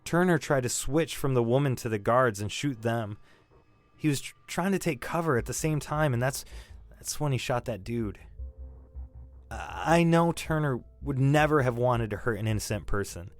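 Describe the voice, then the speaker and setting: An actor playing a shaken young male robbery suspect in an audio drama, defensive and grieving, halting and stammering as he talks. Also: mid-range voice, animated, natural voice. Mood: shaken recounting. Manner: halting, stammering, repeating himself. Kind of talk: naturalistic audio drama acting.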